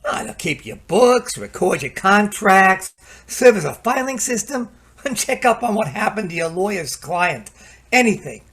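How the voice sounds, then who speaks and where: A man's voice: high voice, big pitch swings, unhurried, fairly bright tone, exaggerated voice. An actor playing a folksy, patient gadget salesman in a radio play, chatty and colloquial, hemming and hawing. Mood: confident explaining.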